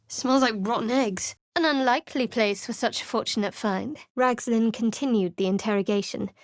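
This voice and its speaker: An actress plays a guarded young cleric who keeps her secrets close, her voice cool and measured over a dry, close studio sound. She narrates and comments with dry restraint, at an ordinary, middling pitch for a woman. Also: no room sound, natural voice. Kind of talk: game voice acting.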